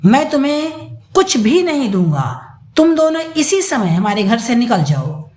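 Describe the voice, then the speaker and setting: Woman performing older father, high voice, indignant, accusing, firm, animated delivery, audio story. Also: a little slow. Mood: roaring anger. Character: stern, angry older father rebuking his greedy son. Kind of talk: dramatised audio story reading. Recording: roomy echo.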